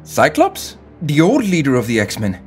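A man's voice: fairly high pitch, huge pitch swings, measured pace, soft background music. A man voicing an earnest, frightened teenage student who sounds anxious and breathless in an audio drama. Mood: alarmed.

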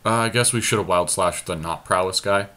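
A man's voice: sits low, a little fast, a bit bright. A man giving casual, conversational commentary on a card game he is playing, often self-deprecating. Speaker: podcast host commentating on own card game.

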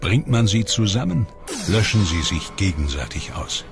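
A man reading scripted documentary voice-over in a measured, grave and dramatic way. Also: fairly low pitch, big pitch swings, creaky. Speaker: documentary narrator. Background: music under the voice.

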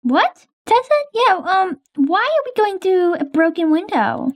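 Cast: woman as a nervous sick young woman, very high voice, stammering game roleplay. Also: big pitch swings, unhurried, exaggerated voice. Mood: wary. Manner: hesitant, full of ums and stammers. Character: sick, timid young woman fearing a virus. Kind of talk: improvised game roleplay voice-over.

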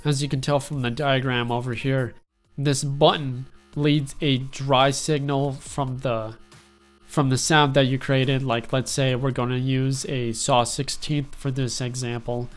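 A young man with a congested, stuffy voice talks through a synth patch in a loose, easygoing way, filling gaps with little fillers as he goes. His pitch sits right in the middle for a man, and quiet synth music plays faintly underneath. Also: unhurried. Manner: relaxed, rambling explanation with frequent fillers. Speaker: music production tutorial host. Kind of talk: casual screen-recorded software tutorial.

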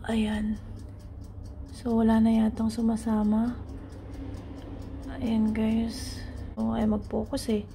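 A woman's voice: on the high side, monotone, slow pace, creaky, pauses often, dark tone, a little hiss or hum. A young woman with a Filipino accent, chatting viewers through a recipe in her home kitchen, filmed on a phone. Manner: chatty, instructive, addresses viewers as guys. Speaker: cooking vlogger. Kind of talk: casual cooking tutorial.

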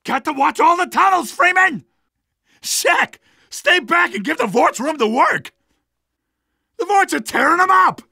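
A man playing an excitable, blunt, wisecracking resistance fighter in a video game. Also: extremely high pitch, a little slow, creaky, pauses often, dry recording, clean studio sound, exaggerated voice. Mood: frantic shouting. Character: jumpy, wisecracking male resistance fighter defending a tunnel. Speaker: video game minor character.

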